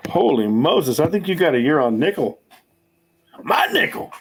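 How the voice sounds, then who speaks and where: A man's voice: a little high, sing-song, moderate pace, breathy edge. Forties male stream host, loose and self-deprecating, cusses freely, rambles on. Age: 40s. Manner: rambling, swearing, self-mocking. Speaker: livestream host. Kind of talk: casual livestream chat.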